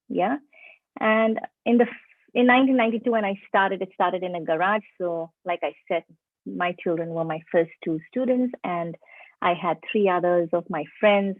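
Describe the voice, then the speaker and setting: A woman's voice: lowish voice, no room sound. A middle-aged woman from India with a mixed Indian and Indonesian accent, giving a friendly online presentation to teachers and often checking in with her listeners. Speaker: preschool teacher trainer. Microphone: video call. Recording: dry, phone-like call audio.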